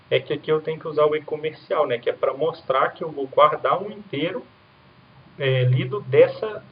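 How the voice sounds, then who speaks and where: Male instructor, casual and explanatory, keeps checking in with "right?". Echoey room, muffled lo-fi capture. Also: on the high side. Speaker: programming instructor. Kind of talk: recorded coding lesson with screen demo. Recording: roomy, dull lo-fi sound, faint hiss.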